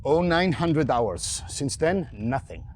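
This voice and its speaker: An actor playing a stern military aide in a video game cutscene, speaking in terse, serious sentences. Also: male voice, mid-range voice, sing-song, takes it slowly. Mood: grim. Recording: noisy old game video audio.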